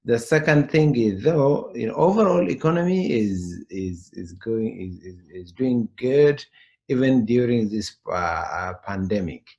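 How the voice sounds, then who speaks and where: A man with an Ethiopian accent answering interview questions in a halting, explanatory way. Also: mid-range voice, a little mellow, dull audio. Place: remote call.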